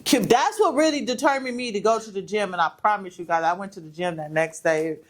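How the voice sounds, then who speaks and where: A woman's voice: mid-range voice, fairly quick. A woman in her thirties co-hosting a podcast in casual chat, playful and teasing, laughing often. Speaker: podcast co-host.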